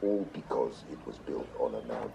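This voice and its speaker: An actor playing a sly South African film villain under interrogation, heard through noisy replayed audio. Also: male voice, extremely low pitch, sing-song, brisk, vocal fry, mellow, exaggerated voice. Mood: taunting. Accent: South African, imitated. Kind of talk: film drama dialogue.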